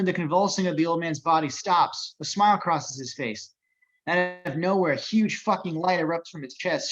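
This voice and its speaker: Muffled, higher-pitched man reading stage directions. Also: fairly bright tone. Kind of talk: scripted narration in an amateur table reading.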